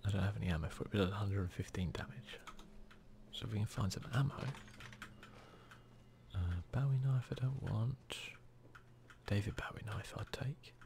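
A man with a low, gravelly voice, speaking softly and often close to a whisper. He gives casual, muttered ASMR game commentary with a self-deprecating touch, over faint background noise. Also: fairly even intonation, moderate pace, pauses often. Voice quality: soft, breathy, often near a whisper. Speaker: gaming video host.

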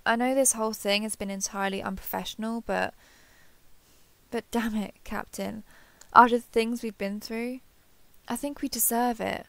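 A woman playing an earnest, affectionate starship captain in an intimate sci-fi audio roleplay, speaking haltingly and confessionally. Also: on the high side, steady tone, creaky, fairly bright tone, dry recording. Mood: flustered, tender. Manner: hesitant, halting, apologetic, confessional.

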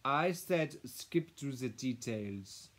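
A man's voice: high-pitched, big pitch swings, moderate pace, pitched above the performer's own voice. A man voicing an imperious, impatient French housekeeper woman with a French accent and a haughty, curt manner, in a storybook narration.

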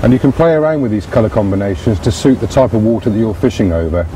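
Mellow-voiced man on a lakeside. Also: fairly low pitch, quick pace. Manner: steady explanatory, talks through hands-on steps. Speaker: host presenting fishing tactics. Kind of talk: outdoor instructional demonstration. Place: outdoors on a grassy lakeside bank. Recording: distant outdoor mic with faint background noise.

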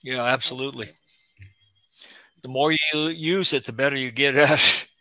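Bright, agreeable man on a call. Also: medium pitch, fairly even intonation, dry recording. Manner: agreeable, quick to chime in. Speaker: livestream guest. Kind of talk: casual chat on a livestream call.

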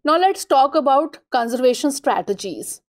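Woman reading exam notes, slightly high voice. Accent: Indian English. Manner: even, measured reading of list items. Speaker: exam-prep lecturer. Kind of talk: scripted narration over slides.

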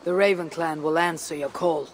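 Actress as stoic Viking clan chief, a woman; husky and low, few words, commanding, for game cutscenes. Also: steady tone, moderate pace, slight background noise, lightly acted. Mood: resolute. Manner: terse, commanding. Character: stoic, hardened female Viking clan leader. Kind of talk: video game cutscene acting.

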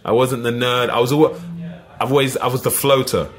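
A middle-aged man with an East London accent, telling lively stories as a podcast guest, full of "you know what I mean". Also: mid-range voice, brisk. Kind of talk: casual storytelling.

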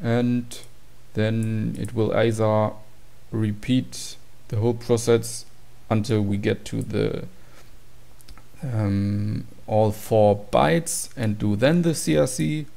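A man's voice: on the low side, fairly even intonation, slow, gravelly, mellow. A man giving an informal, matter-of-fact tutorial walkthrough of program code. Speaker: tutorial presenter.

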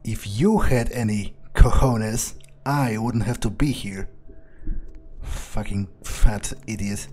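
A man's voice: on the low side, steady tone, takes it slowly, darkish tone, a little hiss or hum. A man playing a video game in character, speaking his lines and thoughts aloud in a blunt, sweary way. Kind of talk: in-character game roleplay commentary. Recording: faint music behind.

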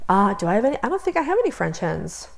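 A woman's voice: mid-range voice, sing-song, rapid-fire, creaky. A woman co-hosting a podcast, chatting and explaining informally in an easygoing, playfully dry way. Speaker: podcast co-host. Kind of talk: casual chat, informal explaining.

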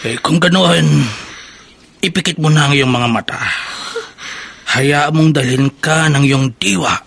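An actor playing a solemn, mysterious keeper of magic candles in a horror radio drama, grave, measured and husky. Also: male voice, on the high side, a bit bright, exaggerated voice. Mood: solemn, foreboding.

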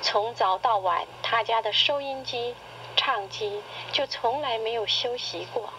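A middle-aged woman reading a personal essay in radio drama style, with measured, literary, expressive delivery. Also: fairly high pitch. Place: played back from a cassette recorder speaker. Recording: noisy cassette tape played through a recorder.

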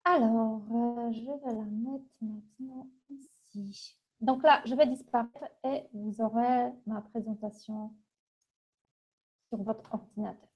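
Polish-accented lady doing an online webinar, kinda high voice, bit muffled lo-fi sound. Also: fairly even intonation, slow, husky. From Poland. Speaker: university international relations manager, webinar presenter. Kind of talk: informal webinar presentation. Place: small office, indoors. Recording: dull, lo-fi webcam audio.

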